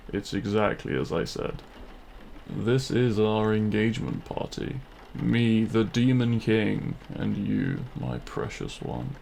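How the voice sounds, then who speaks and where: Demon king male actor for romantic audio roleplay: deep, very unhurried, smug and possessive, courtly menace, some background noise. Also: gravelly, darkish tone, full character voice. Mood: teasing, possessive. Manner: theatrical, silky, menacing yet courtly. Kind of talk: scripted audio roleplay, addressed to the listener.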